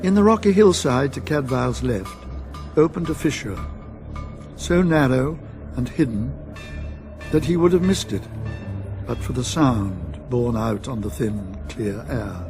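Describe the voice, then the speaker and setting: A man narrating a radio drama in a measured, literary storytelling manner. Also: medium pitch, expressive, over music.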